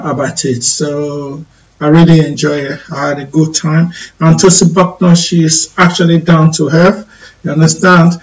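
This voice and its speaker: Nigerian male host, slightly high voice, halting delivery, sits back from the mic. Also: recorded from a distance, poor audio. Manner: hesitant, many ums and restarts. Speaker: radio show host. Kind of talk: live call-in talk show. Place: studio in the UK.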